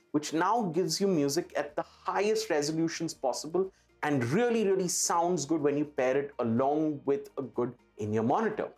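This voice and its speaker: A man with an Indian accent presents a product to camera in an enthusiastic, emphatic way. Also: on the high side. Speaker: presenter for an audio retailer. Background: background music.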